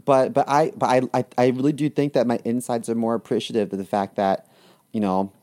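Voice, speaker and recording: A man's voice: medium pitch, fairly even intonation, brisk, a little mellow. Crude joking podcast co-host, man. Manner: crude joking, laughs often. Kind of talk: casual comedic chat.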